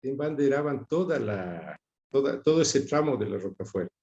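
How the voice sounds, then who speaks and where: A middle-aged man with a Quito Ecuadorian accent and a soft, mellow tone reminisces as an interview guest. He speaks reflectively and haltingly, often repeating words, and the room echoes around him. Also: mid-range voice, animated, highs cut off. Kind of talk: conversational reminiscence. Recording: roomy, echoing sound.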